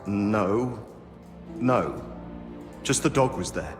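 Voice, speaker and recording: A man's voice: fairly low pitch, animated, extremely slow. An actor playing a grumpy, evasive man in a video game cutscene, with a weary, defensive and grudging delivery. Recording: echoey stone-room reverb, music behind.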